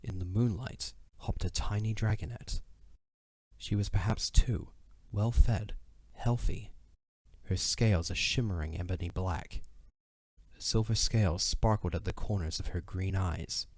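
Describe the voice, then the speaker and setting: A man's voice: deep voice, unhurried, a little mellow, no echo. A man narrating an audio drama as a scripted story. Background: faint music.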